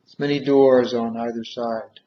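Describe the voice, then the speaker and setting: A man guiding a meditation in measured short phrases with long pauses, on a dull, muffled recording. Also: mid-range voice, steady tone. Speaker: guided meditation leader. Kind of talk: guided meditation, slow soothing instruction.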